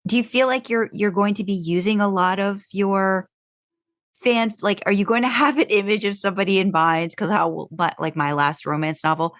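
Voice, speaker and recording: A woman's voice: medium pitch, steady tone, normal speed, fairly bright tone. A woman co-hosting a casual podcast interview, asking long, rambling questions and often murmuring agreement. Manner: rambling questions, frequent backchannel "mm-hmm". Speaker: podcast co-host.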